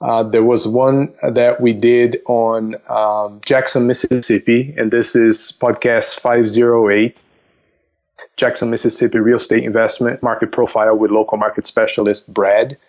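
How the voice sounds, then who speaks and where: Dull narrow-sounding recording, male podcast guest, mid-pitched, methodical, polite, halting, explanatory. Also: level tone. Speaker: investment counselor, podcast guest. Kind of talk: casual explanatory podcast talk.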